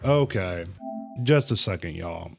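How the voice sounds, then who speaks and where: A man's voice: low voice, lively intonation, unhurried, gravelly, over music. A man livestreaming a video game with casual, slangy commentary. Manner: slangy, informal. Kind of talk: casual game stream commentary, reading dialogue aloud.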